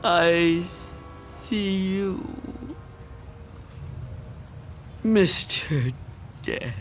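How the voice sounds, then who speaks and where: An actress playing a frightened, fragile old woman in a radio drama, tremulous, pleading and halting. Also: on the low side, animated, over music. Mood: fearful. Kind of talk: dramatic radio play acting.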